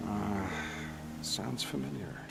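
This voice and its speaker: Casting: shrewd royal adviser, low gravelly voice, deliberate and pragmatic, game drama. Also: male voice, background noise, heavily acted. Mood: thoughtful. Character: calculating, authoritative royal adviser. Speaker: actor in a video game cutscene. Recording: music behind the dialogue.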